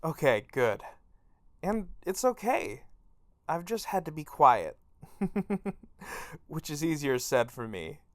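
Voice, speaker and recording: A man as a quiet reassuring young man, slow, lively pitch, gravelly, dry close sound. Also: no echo. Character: calm young man minding an exam. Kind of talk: audio drama acting.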